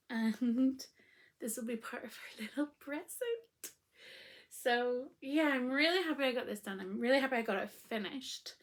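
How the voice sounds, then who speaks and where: A woman hosting a casual crafting podcast, her voice still croaky after illness, talking in a chatty, rambling way with frequent ums. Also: on the high side. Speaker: knitting podcast host.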